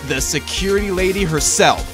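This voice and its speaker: A man's voice: high voice, normal speed, lightly acted. A man playing an earnest, persistent young defense lawyer in an amateur fan dub of a game, questioning pointedly. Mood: pressing. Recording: music playing behind the voice.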